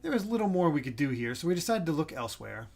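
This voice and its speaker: A man's voice: fairly high pitch, sing-song, fast, creaky, darkish tone, soft background music. A man co-hosting a game stream, switching between reading story narration aloud and casual commentary.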